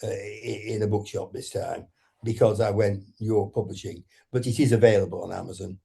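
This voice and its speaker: A man's voice: fairly low pitch, fairly even intonation, moderate pace, gravelly, dark tone. A man in his eighties from Lancashire, a guest author speaking in a casual interview with a northern English accent, often hesitating and restarting. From Lancashire, England. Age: 80s. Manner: hesitant, many ums and restarts.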